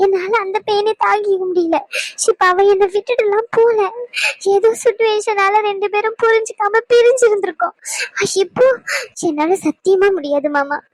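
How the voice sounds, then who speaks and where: Voiced by a woman, a heartbroken young woman pleads and refuses through tears in a radio-play scene. Her voice sits very high and sounds thin and tearful. The recording is dry, close and has no room echo. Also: darkish tone. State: crying. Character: distraught young woman begging her uncle.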